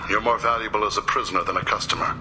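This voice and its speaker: A man's voice: fairly low pitch, steady tone, racing, heavy background noise, lightly acted. A man playing a treacherous prison warden in a video game, coldly polite and commanding. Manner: formal, commanding.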